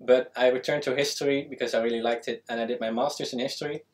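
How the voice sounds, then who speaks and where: A man's voice: medium pitch, fairly even intonation, quick pace. A young man from the Netherlands, a historian guest, answering earnestly with hesitant fillers in a small studio. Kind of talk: panel answer. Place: small studio with a world map.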